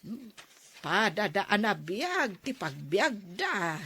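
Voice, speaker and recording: A woman playing a concerned older village mother with a husky voice in a Filipino audio drama. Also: mid-range voice, sing-song, slow pace, sharp tone, no room sound, full character voice.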